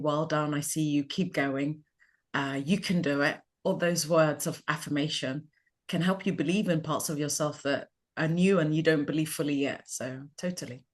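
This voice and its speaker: Middle-aged woman from Ghana, low-ish voice, measured and reflective interview guest. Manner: calm, measured, thoughtful. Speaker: interviewee, life coach.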